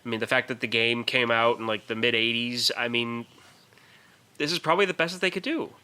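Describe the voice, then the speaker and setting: A man's voice: mid-range voice, brisk, sharp tone, clean tone. A man co-hosting a podcast, discussing films casually in an emphatic, argumentative way. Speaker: podcast co-host.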